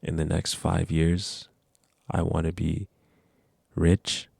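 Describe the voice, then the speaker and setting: A man's voice: sits low, monotone, takes it slowly, vocal fry, darkish tone, slightly rough, no room sound, clean studio sound. A nineteen-year-old man talking casually to camera, giving earnest, rambling motivational advice. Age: young adult. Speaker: vlogger.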